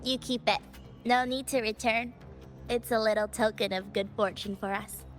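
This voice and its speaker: Woman voicing a very high, breezy young Taoist wanderer. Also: steady tone, moderate pace, close to an everyday voice. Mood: wistful, musing. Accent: non-standard accent. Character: cheerful, carefree young female Taoist wanderer. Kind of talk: video game voice acting. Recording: game audio over loud music.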